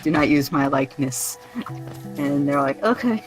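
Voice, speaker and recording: A woman on a stream call, chatting casually in a relaxed, rambling way. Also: low voice, normal speed, over music, poor audio. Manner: relaxed, rambling, says like often. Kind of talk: casual chat.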